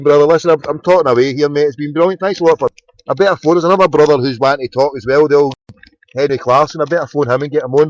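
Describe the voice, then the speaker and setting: A man's voice: a little high, darkish tone, dull audio. A Scottish man hosting a casual phone-in, chatty and sweary, often repeating his words. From Scotland. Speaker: phone-in host.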